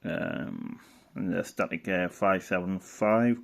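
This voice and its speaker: Male hobbyist presenter, lowish pitch with heavy vocal fry, off-the-cuff and halting delivery. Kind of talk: casual unscripted commentary while browsing a catalogue.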